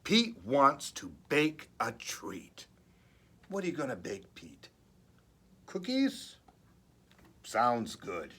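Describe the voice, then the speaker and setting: A man reading aloud at a children's story time as a librarian, expressive and deliberate, with playful chatty asides. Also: medium pitch, slightly rough.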